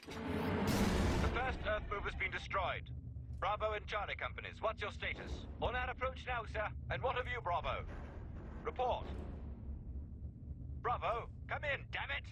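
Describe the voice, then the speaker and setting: An actor playing a stern field commander in a video game, giving clipped, commanding orders. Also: male voice, high-pitched, animated, moderate pace, long pauses. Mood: urgent, demanding. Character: gruff British military commander, stern, urgent under fire. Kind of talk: video game cutscene acting, radio orders. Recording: very noisy, battle vehicle din.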